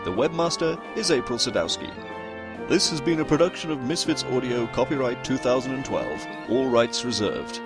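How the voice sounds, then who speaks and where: Male announcer for audio-show credits; mid-range voice, scripted narration over a clear music bed. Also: mid-range voice, animated, moderate pace.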